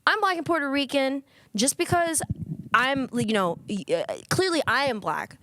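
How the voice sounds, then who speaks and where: A woman's voice: sits high, normal speed, slight background noise. A young woman co-hosting a podcast, talking candidly and animatedly in casual group chat on a handheld mic in an office lounge. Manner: animated, candid, swears freely. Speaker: podcast co-host.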